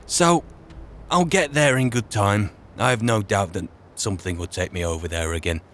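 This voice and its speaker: Low-voiced, musing man playing a wandering mage. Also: moderate pace, fairly bright tone, close to an everyday voice. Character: weary, grumbling male wandering mage adventurer. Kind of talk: in-character game roleplay narration. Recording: faint game ambience noise.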